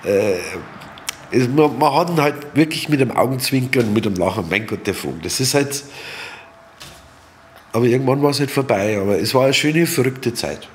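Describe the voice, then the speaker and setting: A man's voice: medium pitch, animated, moderate pace, a little hiss or hum. A man speaking spontaneously and emphatically in an interview, often repeating himself. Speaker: local interviewee.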